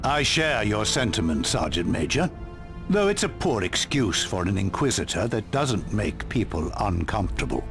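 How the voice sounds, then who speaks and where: A dry, understated army commander. His voice sits a little low but moves freely up and down. A game score plays behind him. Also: sing-song, moderate pace, music in the background. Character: dry-witted male military commander. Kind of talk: video game cutscene acting.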